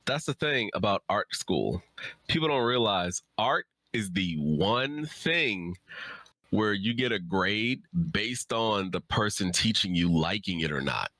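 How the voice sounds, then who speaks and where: A man's voice: lowish voice, lively intonation, slow pace, pushed and bright, dry recording, poor audio. A man chatting casually as a guest on a livestream, speaking in an emphatic, effusive way. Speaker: guest writer.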